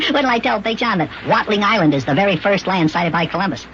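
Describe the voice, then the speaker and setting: Excitable high-pitched little boy elf. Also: a little fast. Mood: curious. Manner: gleeful, exclamatory, folksy slang. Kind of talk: 1950s children's radio serial acting. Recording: noisy, dull old radio recording.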